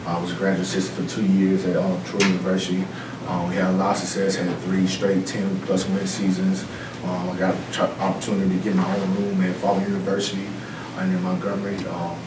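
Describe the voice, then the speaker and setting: A low-voiced man from Mississippi telling a room about his years as a player and coach. He talks earnestly and rambles, with plenty of fillers. He sounds far from the mic, in a roomy space with clear background noise. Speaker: football coach. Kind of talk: self-introduction to a room. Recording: roomy, distant, noisy room recording.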